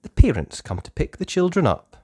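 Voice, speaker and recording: A woman hosting a storytime, reading a children's book aloud with animated expression. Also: low-pitched, husky, dry recording. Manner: slow, animated delivery for small children. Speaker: storytime host and narrator.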